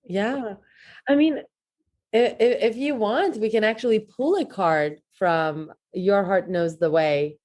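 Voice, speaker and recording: A woman's voice: mid-range voice, takes it slowly, a little mellow. A woman guest on a podcast, talking casually about her work with frequent fillers and restarts. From Iran. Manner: hesitant, many fillers and restarts. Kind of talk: casual interview talk.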